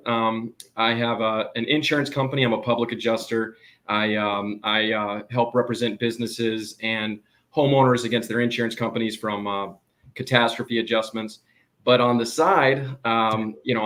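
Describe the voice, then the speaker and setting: A man, a guest in a casual online chat, speaking in a relaxed, hesitant way with many ums. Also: mid-range voice.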